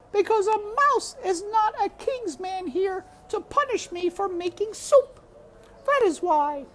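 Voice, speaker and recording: A woman's voice: very high voice, pitched above the performer's own voice, full character voice. A woman voicing a nervous, jolly old female cook from a storybook, folksy and full of exclamations. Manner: exclamatory, folksy, cackling ho-hees. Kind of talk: storybook character voice.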